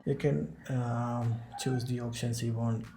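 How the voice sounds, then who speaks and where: A man hosting a screen-recorded software tutorial, explaining each step as he clicks through it. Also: mid-range voice, level tone, takes it slowly, music in the background. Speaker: tutorial host.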